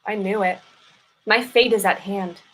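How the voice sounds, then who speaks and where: A woman's voice: medium pitch, fairly even intonation, measured pace, fairly bright tone, rough recording. A woman playing a guilt-ridden, dramatic mistress in a comedic play reading, earnest and emphatic as she confesses. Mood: dramatic confession. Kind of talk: live play reading, comedic stage acting.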